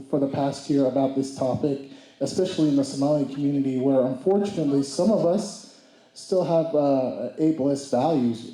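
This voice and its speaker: A man in his twenties giving a speech at a community summit, halting with restarts and mixing in Somali phrases. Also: mid-range voice, warm tone, reverberant, low-quality recording. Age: 20s. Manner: hesitant, many restarts and fillers; mixes Somali phrases into English. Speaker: speaker. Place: event hall.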